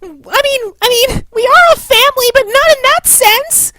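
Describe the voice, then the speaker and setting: A woman's voice: very high voice, normal speed, sharp tone, dry recording. A woman voicing an earnest, easily flustered young anime girl who stammers.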